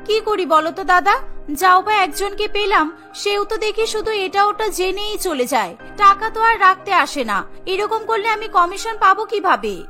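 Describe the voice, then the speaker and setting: A woman's voice: very high voice, expressive, normal speed, bright, forceful tone, faint music behind, full character voice. A woman voicing a sly, boastful young married woman in a cartoon, coaxing and self-assured. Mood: complaining. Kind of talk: Bengali cartoon voice acting.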